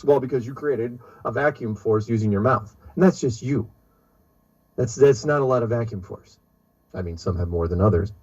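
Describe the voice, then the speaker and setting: Male talk host, husky, sardonic and meandering; dull lo-fi audio. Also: mid-range voice, darkish tone. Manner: rambling, sardonic, hesitant with many fillers. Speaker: radio show host. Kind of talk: casual talk-show monologue reading a listener email. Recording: dull lo-fi recording.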